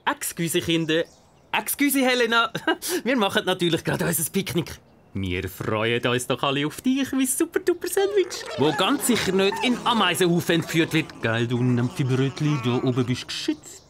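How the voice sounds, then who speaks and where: A man's voice: on the high side, very animated, moderate pace, a bit bright, a little hiss or hum. A man playing a proud, goofy zookeeper dad in a children's cartoon, with a Swiss German accent and a theatrical, exclaiming manner. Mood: cheerful, flustered. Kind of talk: animated children's bedtime story acting.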